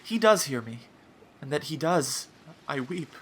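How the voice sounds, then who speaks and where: An actor playing a grieving, lovestruck young shipwrecked prince, speaking earnest verse in a Shakespearean audio drama. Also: male voice, on the high side, expressive, unhurried. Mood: awestruck, sorrowful. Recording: noisy remote online recording.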